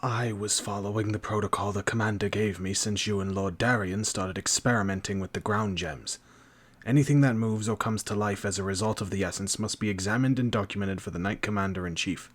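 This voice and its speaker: An actor playing a respectful, duty-bound knight captain with a husky voice in an audio drama. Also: male voice, on the low side, steady tone, brisk, lightly acted. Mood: dutiful. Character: dutiful, weary male knight captain.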